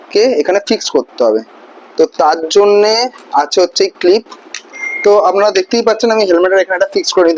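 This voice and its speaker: High-pitched man giving a tutorial. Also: darkish tone, low-quality recording. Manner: informal, often checks in with the viewer. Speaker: vlogger demonstrating motorcycle gear. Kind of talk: casual hands-on tutorial, step by step.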